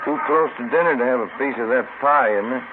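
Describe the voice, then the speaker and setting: An actor playing a plain, homely police detective partner in a 1950s radio drama, talking in a casual, conversational way. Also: male voice, mid-range voice, fast, lightly acted. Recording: noisy narrow-band old radio recording.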